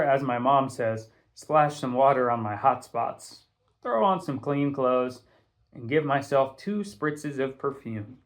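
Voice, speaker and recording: A man narrating a first-person audiobook in a conversational, expressive storytelling manner. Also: medium pitch. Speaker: teacher reading a novel aloud.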